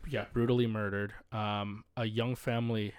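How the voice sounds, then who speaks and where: A Canadian man hosting a casual podcast, blunt and animated, swearing freely. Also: fairly low pitch, moderate pace. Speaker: podcast host.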